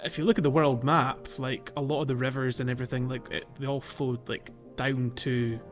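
A man's voice: medium pitch, flat delivery, fairly quick, soft background music. A man co-hosting a casual gaming podcast, talking in a loose, rambling way with lots of fillers and restarts. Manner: rambling, hesitant with many fillers. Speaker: podcast co-host.

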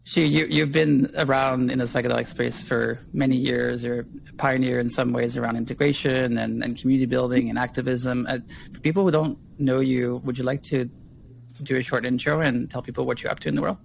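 A man's voice: mid-range voice, steady tone, brisk, creaky, slight background noise, poor audio. A man hosting a podcast interview over a video call, friendly and meandering, with frequent ums and restarts. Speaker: podcast host.

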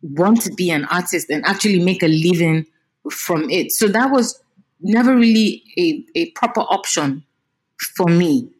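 A woman's voice: on the low side, moderate pace, slightly rough. A Nigerian woman in her thirties, a singer talking expressively and animatedly in a casual interview. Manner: expressive, animated, repeats words while thinking.

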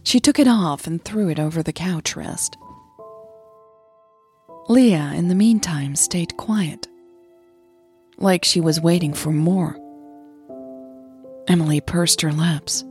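A woman narrates a scripted romantic audio drama. Her voice is a little low and her pace is very measured, with faint music accompanying the story. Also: darkish tone.

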